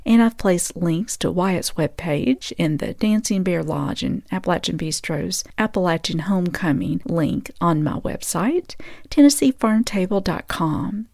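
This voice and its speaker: Mellow-voiced woman radio host, folksy. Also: fairly low pitch, lively intonation, a little slow, gravelly. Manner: encouraging, folksy exclamations. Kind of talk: warm conversational interview.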